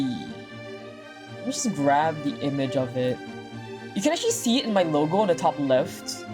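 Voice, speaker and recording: Low-voiced, excitable woman streamer. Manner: excitable, repeats phrases. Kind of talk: casual livestream gaming commentary. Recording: music behind the voice. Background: game music.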